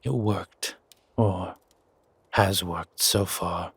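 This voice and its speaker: Gruff werewolf founder, played by a male actor: heavily rasping low voice, slow guarded delivery, dry and protective, for roleplay audio drama. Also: dry recording, exaggerated voice. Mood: calm, measured. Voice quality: husky, gravelly rasp. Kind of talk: roleplay audio drama, intimate one-sided dialogue.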